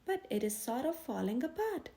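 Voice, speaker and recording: Woman as an upbeat, high-voiced girl bear cub. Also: very animated, moderate pace, vocal fry, mellow, full character voice. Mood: eager. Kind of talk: children's storybook character voice.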